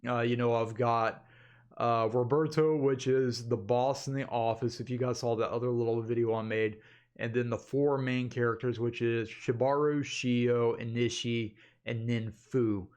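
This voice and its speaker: A man's voice: mid-range voice, steady tone, unhurried, darkish tone, clear, resonant tone. A man hosting a casual update vlog, talking informally and in a rambling way to his viewers as an animator. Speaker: animator and channel host.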